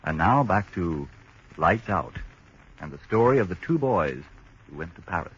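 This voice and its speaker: A male radio announcer from the 1940s with a low, lively, persuasive delivery. He speaks smooth and measured. The sound is dull and lo-fi, with faint hiss.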